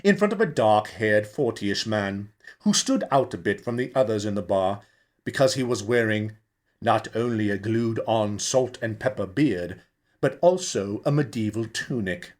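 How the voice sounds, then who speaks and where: Expressive male storyteller with lively pitch. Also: unhurried. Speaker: audiobook narrator, actor. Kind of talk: dramatic scripted novel narration.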